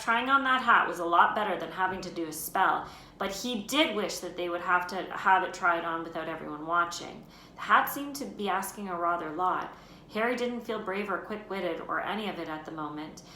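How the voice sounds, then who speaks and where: A woman teacher reading a children's novel aloud, expressive and voicing the characters. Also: lowish voice. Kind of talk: storybook read-aloud. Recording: roomy with faint noise.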